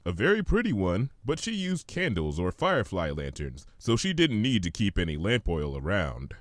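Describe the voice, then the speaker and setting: Expressive male storyteller, very animated pitch. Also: moderate pace, dry recording, clean studio sound. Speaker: fan fiction reader. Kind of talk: expressive scripted storybook narration.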